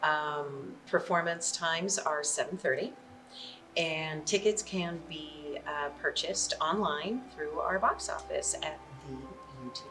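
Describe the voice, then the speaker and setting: A woman's voice: low-pitched, slow, music in the background. A woman who teaches theater and is a doctoral student, giving matter-of-fact, informative answers. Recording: distant mic with clear background noise.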